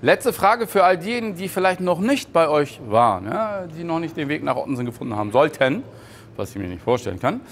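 A middle-aged man hosts a casual on-location interview. His voice sits a little high for a man, and there is clear ambient noise behind him in the restaurant. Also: big pitch swings, a little fast. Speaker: host, interviewer. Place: indoors in a restaurant bar.

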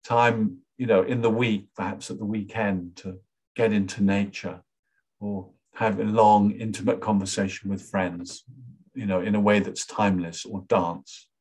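A man in his sixties teaching a webinar from a small room at home, talking over a webcam in a relaxed, rambling way with lots of "you know". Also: lowish voice, measured pace, breathy edge. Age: 60s. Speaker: workshop facilitator. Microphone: webcam on a video call.

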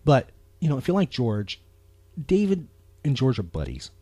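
A man's voice: medium pitch, wildly swooping pitch, normal speed, mellow, slightly rough, no room sound. An American man co-hosting a podcast in a casual, emphatic, earnest and rambling way. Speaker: podcast co-host. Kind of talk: casual chat.

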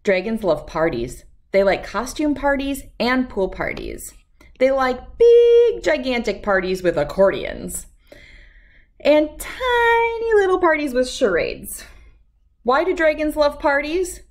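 A woman in her thirties reading a children's storybook aloud with expressive, emphatic delivery and dramatic pauses. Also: sits high. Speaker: read-aloud host.

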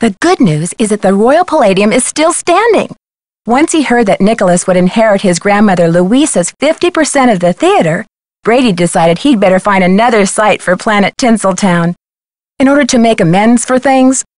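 A woman acts as a level-headed teenage girl sleuth from a mystery game. She speaks at a middling pitch in a composed, conversational manner. The recording is dry and close, with no room sound. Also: no echo, lightly acted. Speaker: video game voice actor. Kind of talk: scripted game dialogue and letter narration.

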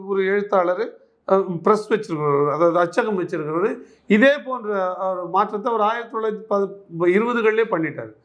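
A man speaks in a notably high-pitched voice. He comments on politics as an interviewee, pressing his case with emphatic repetition and pointed rhetorical questions. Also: a bit bright. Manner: argumentative, emphatic, repeats phrases and poses rhetorical questions.

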